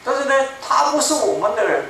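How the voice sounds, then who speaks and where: A man in his seventies preaching a sermon in a small church, speaking slowly and emphatically and repeating his key phrases. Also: very high-pitched, sing-song, creaky, a bit bright. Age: 70s. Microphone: room mic from a far camera. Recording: echoey room, distant mic, faint noise.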